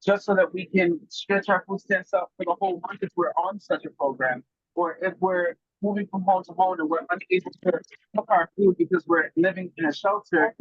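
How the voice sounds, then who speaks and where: High-voiced husky man on a muffled line. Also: a little fast, no room sound. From Germany. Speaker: guest food grower. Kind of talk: casual podcast conversation.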